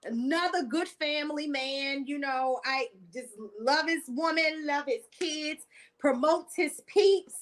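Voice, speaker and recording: A woman's voice: high-pitched, slow. A woman with a Baltimore accent hosting a casual podcast, laughing often as she reads viewer comments aloud. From Baltimore, USA. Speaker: podcast host. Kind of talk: casual live-stream chat.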